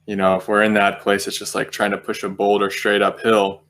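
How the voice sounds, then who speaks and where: A man leading an unscripted guided meditation, speaking gently and slowly, with long pauses as he feels his way through each thought. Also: fairly low pitch, completely flat delivery.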